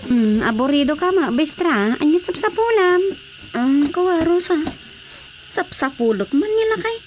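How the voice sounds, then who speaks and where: High-voiced scolding, anxious Ilocano woman. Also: sing-song, normal speed, soft background music. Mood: worried. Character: anxious, scolding woman fussing over an ailing drinker. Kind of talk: radio drama acting. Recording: faint background noise.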